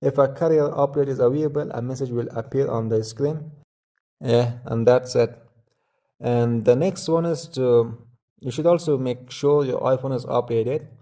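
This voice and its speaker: A man with a soft-edged, mellow voice at a medium pitch walks through instructions as a tutorial host. His delivery is step by step and a little halting, with frequent small hesitations. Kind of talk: step-by-step tech tutorial narration.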